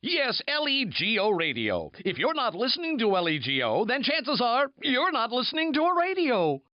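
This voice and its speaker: A man's voice: extremely high pitch, wildly swooping pitch, moderate pace, lo-fi sound, heavily acted. A man playing a zany, over-the-top radio announcer in a game, punchy and theatrical. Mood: hyped, zany. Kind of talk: parody radio announcing, hype commercials and headlines.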